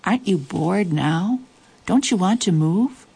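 A woman voicing a tall old pine tree with a lively, gently challenging manner. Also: fairly low pitch, unhurried, vocal fry, breathy edge, full character voice.

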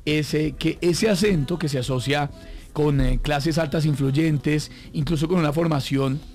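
Man hosting lively studio banter. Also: fairly high pitch, unhurried. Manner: teasing, prompting questions. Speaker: radio host.

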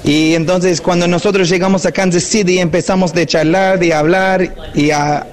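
A man's voice: high voice, steady tone, normal speed. Earnest American man in learner's Spanish. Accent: American speaking Spanish. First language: English. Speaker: guest, former missionary. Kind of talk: live call-in chat.

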